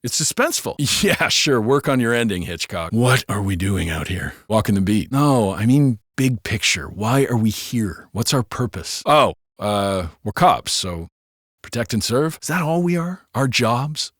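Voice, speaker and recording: Video game beat cop: American, gruff, sardonic, swears freely, lively pitch, sharp tone. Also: male voice, a little slow, no room sound, studio quality. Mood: sardonic banter. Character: gruff, foul-mouthed male city beat cop. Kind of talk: scripted video game voice acting.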